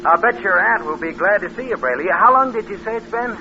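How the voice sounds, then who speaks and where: An actor playing a scheming young drifter, sly and lazy, in a mid-century radio crime drama. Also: male voice, sits high, lively intonation, quick pace, noisy background. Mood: probing. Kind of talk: 1950s radio crime drama, first-person narration. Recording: muffled old radio recording.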